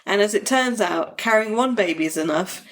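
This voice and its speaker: A woman's voice: mid-range voice, animated, normal speed. A woman co-hosting a podcast, sounding bunged up from a cold and joking in a dry, self-mocking way. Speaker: podcast co-host. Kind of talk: casual podcast chat.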